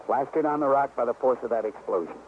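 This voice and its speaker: An actor playing an earnest, suspicious rural friend of a detective, folksy and insistent, in radio drama style. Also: male voice, medium pitch, fast, lightly acted. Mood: suspicious, grave. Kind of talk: 1950s radio drama acting. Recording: dull, noisy old radio recording.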